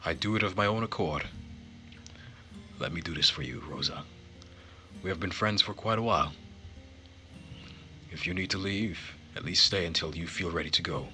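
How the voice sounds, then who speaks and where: Earnest young marquis for a visual novel, male reader, measured and formal, low pitch, very bright edge. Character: gentle, earnest young nobleman, quietly sorrowful. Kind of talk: visual novel character read-aloud. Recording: music behind the voice.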